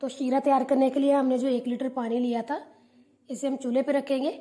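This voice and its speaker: Woman cooking-channel host, high pitch, warm mellow tone, calm step-by-step tutorial voice-over. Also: brisk.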